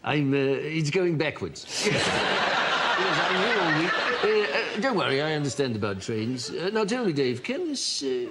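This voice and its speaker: A man's voice: fairly high pitch, sing-song, normal speed, very noisy. A man on a comedy panel show acting out a silly improvised bit, mock-panicking with lots of fillers. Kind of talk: comic improvised sketch.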